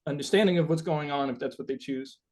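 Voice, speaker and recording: A man's voice: on the high side, fast talker, darkish tone. A man being interviewed as a musician, chatting casually and reflectively, searching for his words with informal, sweary phrasing.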